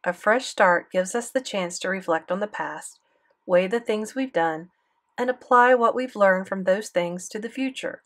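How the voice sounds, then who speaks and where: A woman voicing a kind male middle-school teacher writing gentle, earnest advice to a former student. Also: medium pitch, moderate pace, close to an everyday voice.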